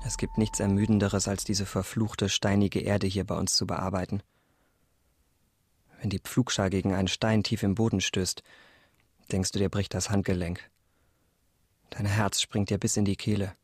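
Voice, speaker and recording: Weary, low-voiced male peasant. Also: flat delivery, normal speed, long pauses, dry recording, clean studio sound. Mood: weary, bitter. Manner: weary, bitter. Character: weary male peasant cursing his stony field. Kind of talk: radio drama monologue.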